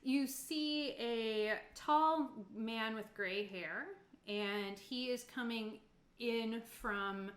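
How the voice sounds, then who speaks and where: A woman with a fairly high, very bright voice narrates a tabletop game. She improvises scenes in a loose, halting, informal way. The room adds clear echo around her. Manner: informal, halting, piecemeal descriptions. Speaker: game master. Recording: roomy, echoing sound.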